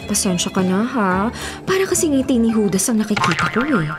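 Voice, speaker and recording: A woman's voice: medium pitch, very animated, a little fast, over music, lightly acted. An actress playing a bitter, prickly young office woman in a Tagalog radio drama, speaking with a Filipino accent in a curt, sarcastic way. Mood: sarcastic, defensive.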